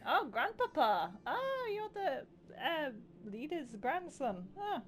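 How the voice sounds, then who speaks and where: A woman's voice: sits high, sing-song, takes it slowly. A woman giving casual let's-play commentary as a gaming streamer, sarcastic and happy to swear. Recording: game music loud behind.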